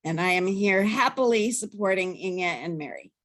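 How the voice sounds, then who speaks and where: A woman's voice: mid-range voice, expressive, moderate pace. A woman introducing herself through her family ties as a participant on a video call. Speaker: workshop participant.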